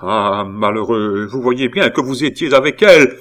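Man putting on a shrill, scolding old spinster aunt. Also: lively intonation, normal speed, fairly bright tone, close to an everyday voice. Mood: indignant, scornful. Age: older. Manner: accusing, sarcastic, indignant exclamations. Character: stern, suspicious, pious old spinster aunt, scolding and sententious.

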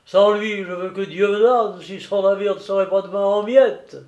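A male narrator acts out a jovial, drunken lighthouse keeper from a storybook. He is loud, boastful and coarse, bursting into exclamations at a raised pitch. The room rings slightly around him. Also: steady tone, normal speed, echoey room, dull audio, lightly acted. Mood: tipsy, rowdy. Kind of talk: dramatised audiobook storytelling.

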